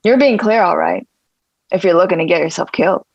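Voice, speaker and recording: A woman's voice: on the low side, a little fast, vocal fry, husky, no echo. A woman playing a brash, streetwise male gangster rapper in a script table read. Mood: confrontational. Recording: dull, muffled lo-fi sound.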